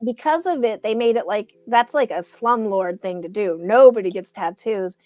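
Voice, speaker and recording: A woman's voice: medium pitch, moderate pace, mellow, dry recording. A woman chatting casually and eagerly, rambling with lots of restarts. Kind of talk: casual chat.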